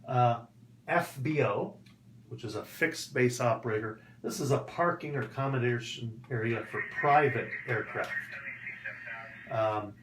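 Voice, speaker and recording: A man teaching in an instructional video, explaining in a deliberate way and enunciating key terms and numbers. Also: mid-range voice. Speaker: English teacher. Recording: echoey room with background noise.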